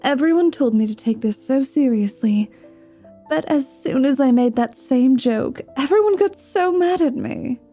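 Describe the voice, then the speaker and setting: A woman's voice: sits high, animated, normal speed, dark tone, faint music behind. A woman voicing a flirty, world-weary female dhampir mercenary in a fantasy ASMR roleplay, teasing and dry with dramatic flair. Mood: wry, playful.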